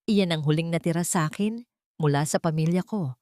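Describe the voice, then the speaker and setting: A female narrator plays a wronged young wife in a storytelling drama. She pleads with trembling emotion, her pitch lifting above narration. The sound is dry. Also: brisk, dark tone, dry recording, natural voice. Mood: anguished pleading.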